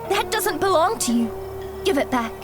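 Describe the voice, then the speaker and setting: A woman's voice: very high-pitched, sing-song, moderate pace, over music. A woman playing a proud, earnest young female magical fighter in an audio drama. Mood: defiant anger.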